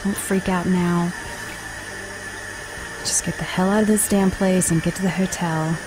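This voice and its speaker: A woman's voice: fairly low pitch, steady tone, unhurried, natural voice, poor audio. A woman playing an earnest, troubled TV star in an amateur audio play, hesitant and uneasy. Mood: anxious. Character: uneasy, bewildered TV star woman in surreal drama. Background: music.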